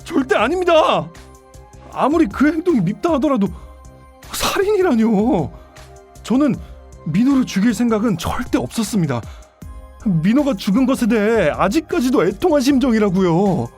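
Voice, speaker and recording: Wronged male athlete, audio drama, pleading, husky, highly animated pitch, music behind. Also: moderate pace, full character voice. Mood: indignant, pleading. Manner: pleading, emphatic protests. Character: indignant male baseball player accused of murder.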